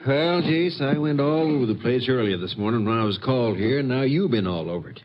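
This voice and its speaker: An actor playing a folksy, inquisitive small-town sheriff in a vintage radio western. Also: male voice, medium pitch, expressive, brisk, lightly acted. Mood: matter-of-fact. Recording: muffled, noisy vintage radio recording.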